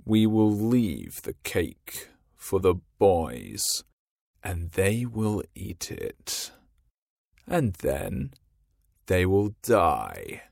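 A man voicing a scheming, menacing pirate captain in a storybook reading, speaking low and slow. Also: huge pitch swings, vocal fry, darkish tone, no echo, studio quality, heavily acted. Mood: brooding. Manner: slow, low, brooding delivery.

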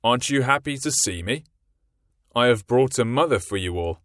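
Man doing a cheery cocky boy leader voice, lively, dry sound. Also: mid-range voice, moderate pace, no echo, close to an everyday voice.

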